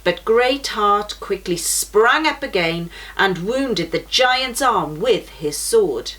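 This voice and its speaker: A woman's voice: mid-range voice, animated, slow, poor audio. Woman reading a children's story aloud. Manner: gentle, shifts voice for characters in quotes.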